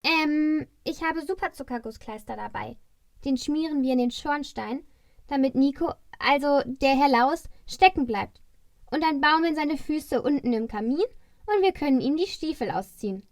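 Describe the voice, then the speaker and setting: A woman's voice: sits high, animated, normal speed, no echo, studio quality. A woman voicing a small, earnest male Christmas elf on an urgent mission in a family audio play. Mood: conspiratorial.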